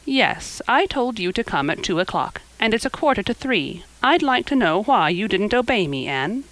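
A woman's voice: medium pitch, big pitch swings, a bit bright, dry recording, low-quality recording. Woman acting out a stern, brusque older guardian. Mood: scolding. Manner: brisk, scolding, matter-of-fact. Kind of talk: audiobook character acting.

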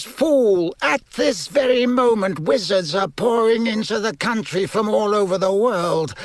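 High, icy, menacing dark lord. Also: male voice, dry recording. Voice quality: high-pitched, icy cold. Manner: imperious, commanding, contemptuous. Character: cold, menacing, high-voiced male dark lord, weary and imperious.

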